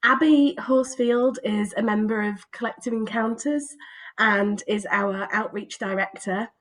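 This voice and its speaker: A woman's voice: mid-range voice, fairly even intonation, measured pace. Woman hosting an online event. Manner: hesitant, many ums. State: slightly hoarse with a cough. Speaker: event host. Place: video call.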